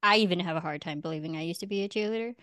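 A woman's voice: fairly low pitch, rapid-fire, a bit bright, smooth, no echo, clean studio sound. A young adult woman hosting a podcast, telling stories in a chatty, self-mocking way to a friend. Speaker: podcast host. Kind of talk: casual chat with a friend.

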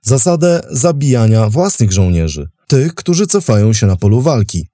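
A man's voice: mid-range voice, very animated, normal speed, mellow, dry recording. A man narrating a history documentary from a script, as its host.

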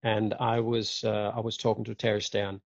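A man with a gravelly, somewhat husky voice chats loosely on a podcast. He jokes and tells stories with lively energy. The recording is dry, dull and lo-fi. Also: lowish voice, moderate pace, no room sound. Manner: joking, lively, chatty storyteller. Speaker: podcast guest. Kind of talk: casual chat. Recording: dull lo-fi audio.

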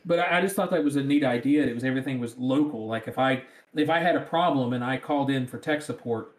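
A man's voice: mid-range voice, quick pace. A man with a rural Southern American accent, chatting casually. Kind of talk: casual chat. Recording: roomy, echoing sound.

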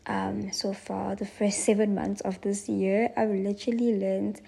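A woman in her twenties with a South African accent, hosting a solo podcast and reflecting softly and thoughtfully, with frequent pauses and ums. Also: mid-range voice, level tone, vocal fry, breathy edge. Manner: soft-spoken, slow and thoughtful with frequent ums. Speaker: podcast host.

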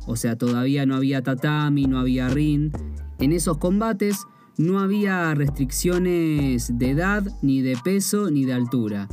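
A young man from Argentina with an Argentine Spanish accent, calmly explaining a martial art and restating points with asides. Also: fairly high pitch. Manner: measured, explains terms with "o sea" asides. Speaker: martial arts practitioner presenting. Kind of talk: explanatory presentation. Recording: faint guitar music behind.

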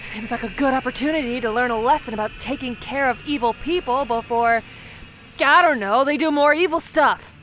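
A woman's voice: high-pitched, moderate pace, fairly bright tone. A woman playing an impatient, sardonic monster hunter in a radio drama, blunt and sarcastic. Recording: faint background noise.

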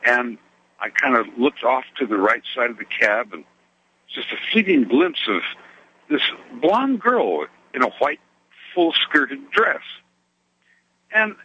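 A man, a truck driver, calling in to a radio show and telling a story in a genteel, lively way, with little laughs. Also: mid-range voice, slow pace, long pauses, slightly rough, lo-fi sound. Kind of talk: telling a story on a phone call-in.

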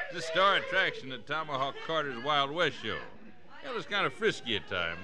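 A man's voice: on the high side, wildly swooping pitch, moderate pace, heavy background noise. An actor playing a chatty, slyly cautioning western townsman with a rustic drawl, in 1950s radio western style. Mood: amused, cautioning. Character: chatty, chuckling small-town western local, slyly cautioning.

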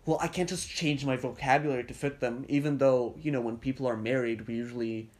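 A man's voice: mid-range voice, fairly even intonation, quick pace. A young man from Slovenia, a podcast guest, talking casually with rambling sentences and false starts. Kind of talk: casual conversation.

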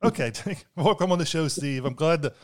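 A man hosting a podcast, introducing his guest and asking questions in a slightly halting way. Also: on the high side. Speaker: podcast host.

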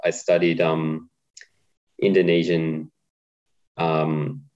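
A man with a very deep voice talks in a relaxed, hesitant way, often pausing on "um." His pitch barely moves, and the recording sounds dull and lo-fi. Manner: relaxed, hesitant with many ums. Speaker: guest, co-op policy adviser. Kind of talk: casual interview chat.

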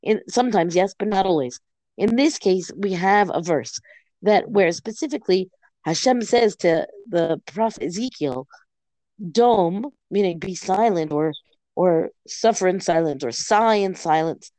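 A hoarse woman who has lost her voice, teaching in a study session and carefully restating each term in plain words. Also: fairly low pitch, lively intonation, a little slow, warm tone, dry recording.

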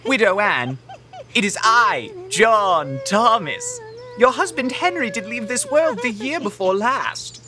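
A woman playing a pompous, officious Puritan gentleman who leads a club, in a comic audio drama with archaic diction. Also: on the high side, animated, slow, slight background noise, exaggerated voice. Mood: polite, awkward. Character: pompous Puritan gentleman, earnest leader of witch-hunting club.

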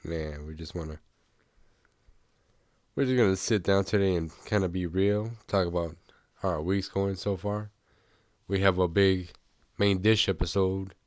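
A young man hosting a casual podcast, sick, who rambles easily and keeps clearing his throat. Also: sits low, measured pace, pauses often, no room sound, studio quality. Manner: relaxed, rambling, with frequent throat clearing and small laughs. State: sick, voice a bit off. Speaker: podcast host. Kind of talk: casual chat about music news.

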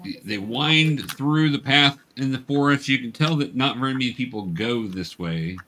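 A man working as game master, narrating a tabletop game to young players in a halting, explanatory way. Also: medium pitch, expressive, pushed and bright. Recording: clear background noise, clicks.

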